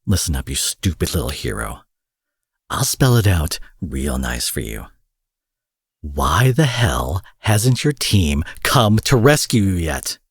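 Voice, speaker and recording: A man's voice: sits low, very animated, slow pace, breathy edge, no echo, clean studio sound, full character voice. A man playing an angry, menacing supervillain in an audio drama, profane, sarcastic and theatrical, taunting with mock affection. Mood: angry, impatient. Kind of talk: roleplay audio drama monologue.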